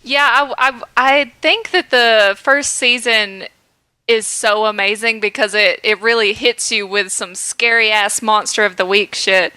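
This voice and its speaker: A woman's voice: a little high, steady tone, unhurried, sharp tone, clean tone, no echo. A young woman co-hosting a podcast, chatting casually, enthusiastic and blunt, often laughing. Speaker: podcast co-host. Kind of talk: casual chat.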